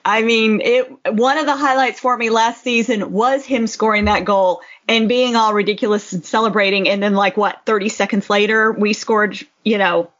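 A woman's voice: medium pitch, fairly even intonation, normal speed, few pauses, a bit bright, muffled recording. A woman co-hosting a casual football podcast, talking in an informal, blunt way. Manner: informal, blunt, frequent "you know". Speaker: podcast co-host.

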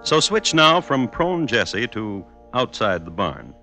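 A man with an American accent narrating an old-time radio drama in a deliberate, wry, mock-formal way. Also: medium pitch, sing-song, pushed and bright, faint music behind.

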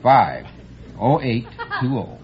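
A man from Michigan, a pet shop owner, answers a quiz host hesitantly, pausing with lots of uhs. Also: mid-range voice, big pitch swings, a faint crowd. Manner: halting, hesitant, full of pauses and uhs. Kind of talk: unscripted quiz show answers. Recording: lo-fi vintage radio broadcast.

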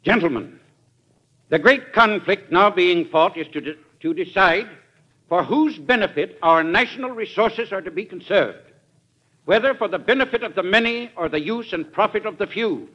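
An actor playing a righteous, combative crusading witness, declaiming in a classic radio drama. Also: male voice, high-pitched, lively intonation, normal speed, sharp tone, dull audio, exaggerated voice. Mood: righteous, insistent.

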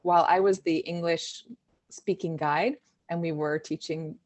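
A woman, an education director, answering interview questions in a relaxed storytelling way, with fillers and restarts. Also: on the low side, measured pace. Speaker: Montessori education director, guest.

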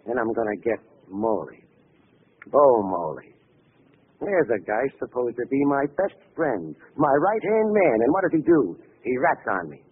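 A man's voice: mid-range voice, very animated, normal speed, slightly rough. A man playing a defiant, vengeful gangster in 1940s radio acting, with slangy tough-guy talk. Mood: vengeful, bitter. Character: defiant vengeful American gangster facing execution. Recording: tinny, hissy old radio recording.

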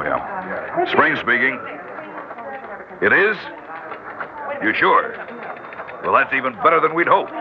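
A man's voice: fairly high pitch, heavily acted. A man playing a blunt, folksy, commanding older campaign boss in an old-time radio drama. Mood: brisk, assured. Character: older male small-town campaign boss, blunt and avuncular. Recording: tinny, noisy old radio broadcast recording.

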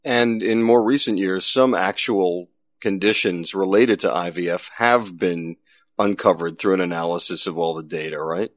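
A man's voice: low voice, normal speed, dry recording, studio quality. An American man hosting a podcast, interviewing and presenting in an articulate, conversational way with somewhat wordy phrasing.

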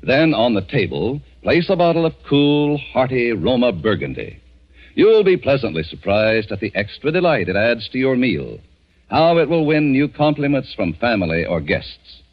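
An American man delivers a 1940s radio commercial with polished salesmanship. His mid-pitched voice swings emphatically, over muffled old broadcast sound. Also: big pitch swings, normal speed. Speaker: commercial announcer. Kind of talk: 1940s radio advertisement, scripted.

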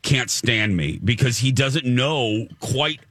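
A man co-hosting a casual chat show, telling stories in a deep voice with lots of restarts. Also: slow pace, no echo. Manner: animated storyteller, frequent restarts. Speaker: co-host.

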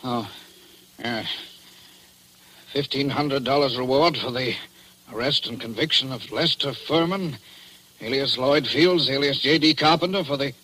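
A man's voice: mid-range voice, a little slow, pauses often, pushed and bright. A man playing a nervous murder suspect, hesitant and agitated, in 1940s radio drama style. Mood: nervous, bewildered. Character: nervous, protesting male murder suspect under arrest. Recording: noisy old 1940s radio recording.

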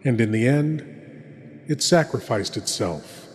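A man's voice: medium pitch, lively intonation, a little slow, darkish tone, lightly acted. A man playing a solemn, ceremonious horror host, narrating theatrically. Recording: long reverb, faint background noise.

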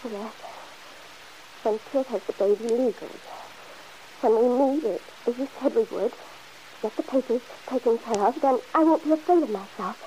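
Woman playing nervous young mother, high soft-edged mellow voice, pleading, vintage radio soap, background hiss. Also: normal speed, pauses often, lightly acted, low-quality recording. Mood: anxious, wistful. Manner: hesitant, pleading, nervy. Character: anxious young mother, fretful, torn by guilt. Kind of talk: old-time radio soap opera acting. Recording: hissy vintage radio broadcast recording.

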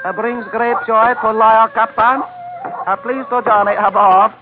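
A tinny old radio recording carries a man playing a smiling henchman, pitched high. He speaks in ornate, over-polite phrases, courteous and menacing at once, in classic 1940s radio-play style. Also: steady tone, normal speed, background noise, natural voice. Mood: smooth, courteous menace. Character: smiling, flowery-spoken male henchman with a gun.

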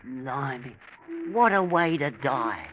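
A man's voice: mid-range voice, expressive, slow. An actor playing a nervous little London fisherman with a Cockney accent and a hesitant, folksy manner in a 1940s radio drama. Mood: nervous, curious. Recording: noisy, muffled vintage radio drama recording.

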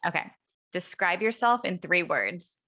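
Bright-voiced woman hosting. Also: fairly low pitch, animated, unhurried. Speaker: interview host. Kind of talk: casual rapid-fire interview.

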